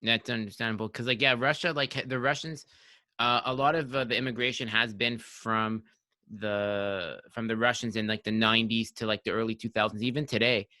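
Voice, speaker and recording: A man's voice: on the low side, steady tone, moderate pace, bright, forceful tone, no room sound. A man of about thirty hosting a podcast, chatting casually and rambling with many restarts and likes. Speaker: podcast host. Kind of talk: casual interview chat.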